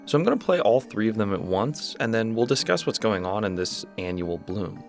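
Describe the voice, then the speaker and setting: Low gravelly guy talking thru horror lore, casual, music playing behind. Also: brisk. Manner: relaxed, conversational, enthusiastic asides. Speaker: YouTube host explaining a horror series. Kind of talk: casual analysis commentary.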